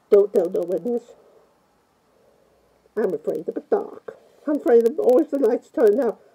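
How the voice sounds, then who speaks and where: A woman's voice: sits high, highs cut off. A woman playing a timid, easily scared Italian plumber man with a put-on Italian accent, rambling nervously through a game review with many "oh"s. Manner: nervous, rambling, frequent "oh" and "mamma mia". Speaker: fan playing a video game character.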